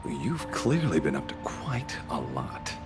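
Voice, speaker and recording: A man's voice: very deep voice, wildly swooping pitch, takes it slowly, music in the background, heavily acted. A man playing a swaggering, theatrical space ranger leader in a game cutscene, teasing and flamboyant. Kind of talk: dramatic game cutscene acting.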